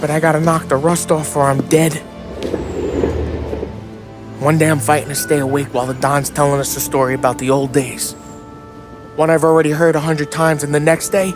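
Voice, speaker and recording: A male actor plays a restless, embittered American wiseguy in his late thirties from a cinematic gangster game. His voice sits a little high and his talk is profane, streetwise slang. Music plays behind him. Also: lightly acted. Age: late 30s. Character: jittery, embittered, foul-mouthed small-time 1930s American mobster. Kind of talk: cinematic video game crime drama acting.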